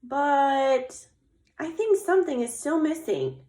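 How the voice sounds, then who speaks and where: A woman voicing a sweet, excited young female bear cub in a storybook, exclaiming and pondering aloud. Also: high voice, takes it slowly, reverberant, voice pushed up.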